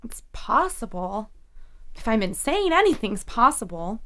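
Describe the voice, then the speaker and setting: A woman's voice: on the high side, sing-song, a little slow, a bit bright. An actress playing a troubled young woman student, fearful and fragile, in an audio drama. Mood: uneasy, searching.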